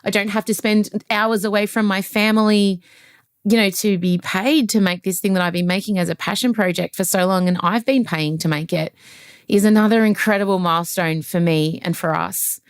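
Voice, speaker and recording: Aussie woman podcast host, gushy and jokey, mid pitch, dry laptop sound. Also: moderate pace, clean tone, no room sound. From Australia. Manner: animated, gushing, jokey asides. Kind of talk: casual podcast chat. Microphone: laptop setup.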